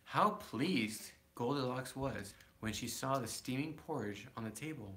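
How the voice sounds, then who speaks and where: A man reading a children's story aloud as a father would, casually and haltingly, correcting himself as he goes. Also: medium pitch, bright, forceful tone.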